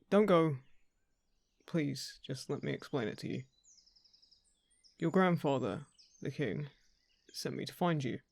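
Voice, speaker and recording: A man acts out a courteous male envoy of the crown in a fantasy audio roleplay. His voice sits a little high for a man and often creaks into fry, recorded close and dry. He chooses his words with hesitation and earnestly, gently persuades. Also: no room sound, exaggerated voice. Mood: pleading, earnest. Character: polite, earnest male royal envoy seeking a lost heir.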